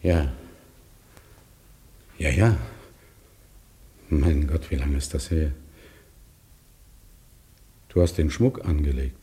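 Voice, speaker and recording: An actor playing a melancholy husband in a German radio drama, speaking in an emphatic, halting, dramatic way. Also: male voice, a bass voice, warm tone. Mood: bewildered, uneasy. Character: melancholy man narrating his lost love, anxious husband. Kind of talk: German radio drama acting, narration and dialogue.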